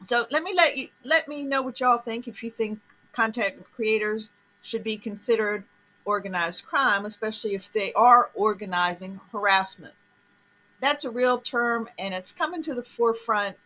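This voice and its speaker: A woman's voice: fairly high pitch, moderate pace, bright, forceful tone, rough recording. A woman hosting an online commentary show, talking casually and directly to her viewers. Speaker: host of online commentary channel.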